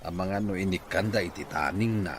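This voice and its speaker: A man's voice: deep voice, a little fast, lightly acted. A man with a Filipino accent playing a practical farming man in a conversational audio drama. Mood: matter-of-fact. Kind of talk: conversational Ilocano radio drama acting. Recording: clear background noise.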